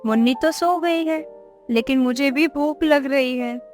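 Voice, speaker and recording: Weary young mother for a cartoon: very high voice, slow plaintive delivery. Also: a little mellow, faint music behind. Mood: weary, despairing. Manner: plaintive, pleading. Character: pleading, helpless young mother, cast out and weary. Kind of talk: acted dialogue in Hindi moral-story cartoon.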